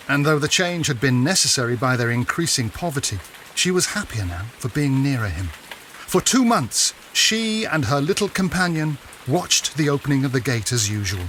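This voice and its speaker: A man narrating a period story in a solemn, measured, theatrical style. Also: a little high, a bit bright, slight background noise.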